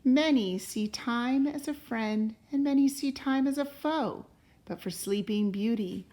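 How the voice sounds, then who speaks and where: A woman reading a storybook aloud to a class as a teacher, in a deliberate, expressive way. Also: a little high. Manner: deliberate and expressive, pausing to question listeners.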